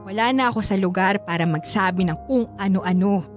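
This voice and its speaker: An actress playing a caring, reassuring middle-aged Filipino aunt in a radio drama. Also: female voice, medium pitch, a little fast, over music, close to an everyday voice. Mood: concerned.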